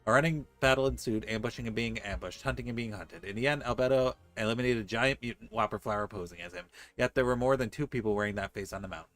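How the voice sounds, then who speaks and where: A man's voice: medium pitch, quick pace, few pauses, fairly bright tone. A man doing casual, joking gameplay commentary as a game streamer. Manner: informal, joking, exclamatory. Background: faint game music.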